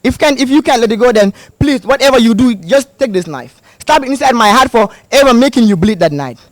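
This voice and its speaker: A man's voice: very high voice, lively intonation, brisk, dry recording. A man performing spoken word poetry at a slam, pleading and intense, leaning on repeated emphasis. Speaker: slam poet.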